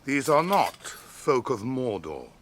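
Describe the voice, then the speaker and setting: An actor playing a noble, grieving ranger leader in a radio drama, speaking in a grave, measured, theatrical way. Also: male voice, mid-range voice, very animated, noisy background. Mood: grave, resolute. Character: noble, grieving male ranger leader, weary and self-blaming.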